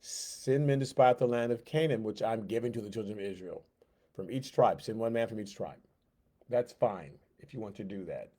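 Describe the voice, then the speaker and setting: A man teaching a Sunday school Bible lesson in a conversational way, acting out the figures in quick asides. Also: on the low side, warm tone. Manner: conversational, acts out God and Moses in quick asides.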